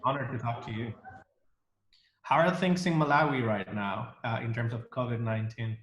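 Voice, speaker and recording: A man's voice: mid-range voice, normal speed, fairly bright tone, slight background noise. Man hosting from an echoey room. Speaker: interview host. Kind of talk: casual interview.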